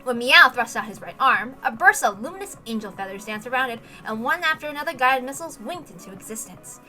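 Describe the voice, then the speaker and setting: Female narrator for visual novel action, high register, forceful edge, expressive and emphatic read. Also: fast talker, soft background music, rough recording.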